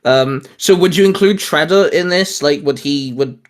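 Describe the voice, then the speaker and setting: A man chatting casually as a host pitching geeky ideas, rambling and restarting his sentences as he goes. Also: normal speed. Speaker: host of a comics discussion show.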